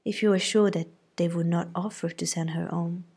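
Lady doing a smart young woman, all whispery and breathy. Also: fairly low pitch, fairly even intonation, moderate pace, warm tone. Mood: hushed. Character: sensible, quiet young woman.

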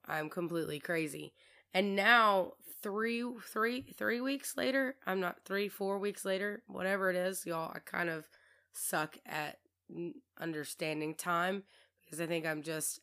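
A woman with a low pitch and a hoarse, worn-out voice hosts her own podcast. She chats on in a loose, rambling way, often breaking off mid-thought, and her voice still cuts through with a bright, forceful edge. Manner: rambling, chatty, self-interrupting. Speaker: podcast host. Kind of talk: casual solo podcast monologue.

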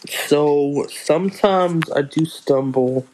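Young guy doing his own podcast, talks real slow, keeps tripping over his words and starting over. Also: fairly high pitch, darkish tone, a little hiss or hum, rough recording. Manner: halting, restarts and fillers, slow unhurried delivery. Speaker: podcast host. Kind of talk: casual solo podcast announcements.

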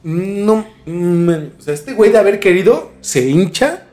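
A man's voice: sits high, animated, measured pace, a little mellow. A young Mexican man telling a story as a podcast host in a casual, slangy conversational way. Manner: informal, slangy.

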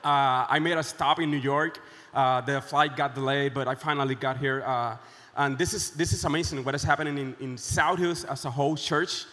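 A man from Puerto Rico, a visiting pastor speaking with many pauses and fillers, good-humored on a church stage. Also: mid-range voice, fairly even intonation, a bit bright, long reverb tail. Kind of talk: casual onstage interview. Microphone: handheld mic.

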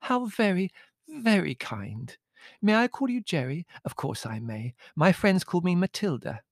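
A man plays a tiny, fat old countess from a storybook. The voice is high, girlish and musical, swooping extravagantly. The manner is effusive and theatrical, repeating phrases for effect. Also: sing-song, a little slow, no echo, clean studio sound, exaggerated voice. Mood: gushing. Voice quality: musical little-girl voice, shrill when outraged. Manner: effusive, breathy exclamations, repeats herself. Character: tiny plump elderly countess, gushing, girlish, theatrically indignant.

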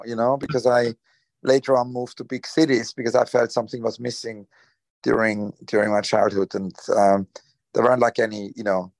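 A man's voice: medium pitch, creaky, a little mellow, no echo. A man from Switzerland, answering interview questions hesitantly with many fillers and restarts. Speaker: guest. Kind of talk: conversational interview.

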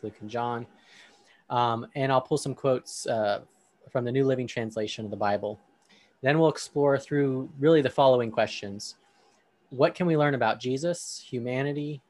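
Man, podcast host, easygoing delivery with fillers, reads scripture aloud, mid pitch, dry sound. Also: no echo. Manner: relaxed, with frequent ums and uhs. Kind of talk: podcast discussion and scripture reading.

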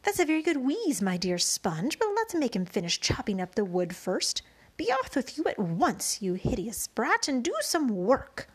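A woman voicing a harsh, bossy, tall and bony aunt in a storybook reading, shrill and barking orders. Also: wildly swooping pitch, normal speed, breathy edge, full character voice. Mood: scolding.

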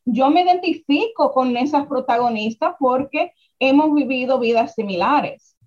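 Woman, Dominican accent, young adult, interview guest, fairly high pitch, dull sound, animated rhetorical questions. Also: normal speed. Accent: Dominican Spanish. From Dominican Republic. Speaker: guest, founder of a writers' platform. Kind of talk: casual interview conversation.

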